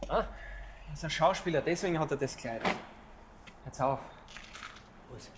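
A man's voice: fairly high pitch, unhurried, close to an everyday voice. An actor playing a suspicious, confrontational man in a naturalistic radio drama. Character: suspicious, confrontational man quizzing about homosexuality. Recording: very noisy scene with clicks.

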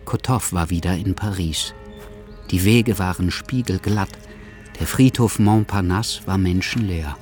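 A man narrating a literary radio drama in a measured, storytelling manner. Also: low voice, a little mellow, soft background music.

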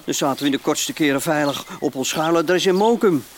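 A man's voice: on the high side, fairly quick, a little hiss or hum, close to an everyday voice. A man acting in a Dutch radio play as a cheeky, slangy criminal sidekick on the run. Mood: grumbling. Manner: slangy, colloquial.

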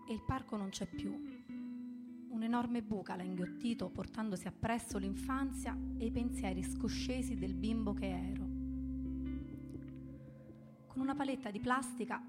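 A woman reads a literary first-person memory aloud as scripted narration. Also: mid-range voice. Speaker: reader of a written memoir. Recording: guitar music playing behind the voice.

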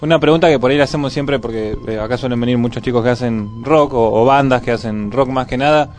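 Male radio interviewer, middle register, informal and slightly halting, soft music in the background. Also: medium pitch. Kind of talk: casual interview questions. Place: radio studio.

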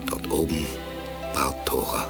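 A man's voice: very deep voice, level tone, measured pace, over loud music. A man narrating a 1980s German science fiction radio play in a scripted storytelling style.

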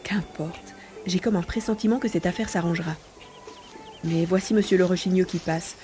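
Woman acting a devoted romantic lady, mellow voice. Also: lowish voice, steady tone, moderate pace, soft background music, lightly acted. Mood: hopeful, grateful. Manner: tender, formal, sentimental. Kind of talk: French audio drama acting.

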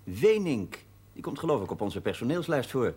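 A man's voice: mid-range voice, big pitch swings, normal speed, a little mellow, slightly rough. An actor playing an assertive, dryly amused married businessman and son-in-law in a naturalistic television drama. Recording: faintly noisy 1970s television soundtrack, tableware clinks.

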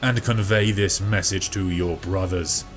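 Man doing a solemn warrior-king demigod, deep voice, slow grave booming lines, faint music underneath. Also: steady tone. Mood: grave warning. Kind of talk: audiobook character voice.

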